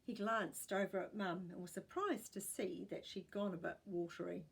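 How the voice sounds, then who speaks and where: A woman with a New Zealand accent reading a children's story aloud in an expressive storytelling style. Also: medium pitch, moderate pace, gravelly, breathy edge.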